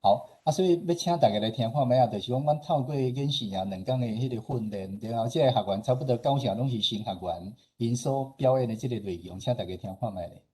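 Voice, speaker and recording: Husky, mellow Taiwanese man presenting. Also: medium pitch, fast talker, few pauses. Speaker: radio station head presenting at a forum. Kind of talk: informal presentation with slides.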